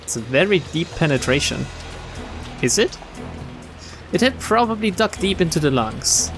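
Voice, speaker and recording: A man's voice: a little high, very animated, moderate pace. A man streaming a visual novel, reading the text aloud and adding wry, casual asides. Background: game music.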